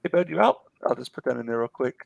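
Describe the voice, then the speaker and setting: A man hosting a live art stream, losing his voice and talking in a relaxed, rambling way with many fillers. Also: medium pitch, fast, creaky, no echo, highs cut off. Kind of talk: casual late-night chat.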